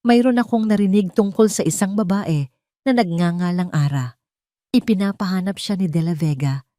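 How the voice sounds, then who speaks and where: A gentle, protective man in an audio love story, acted out by a woman. He speaks earnestly and reassuringly. The sound is dry and free of room echo. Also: lowish voice, normal speed, lightly acted. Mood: guarded.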